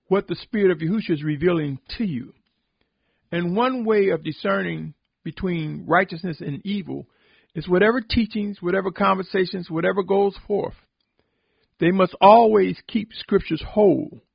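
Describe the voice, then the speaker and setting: Gravelly, husky man preaching solemnly. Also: sits high, no echo, dull audio. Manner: exhortatory, deliberate, with emphatic repetition. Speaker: religious podcast host and preacher. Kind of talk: solemn sermon-like spoken reflection.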